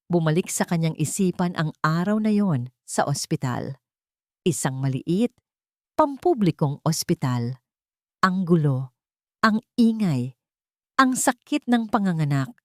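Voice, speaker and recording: Low-pitched Filipino woman narrating a drama. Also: no echo, clean studio sound. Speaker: audiobook narrator. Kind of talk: dramatic scripted story narration.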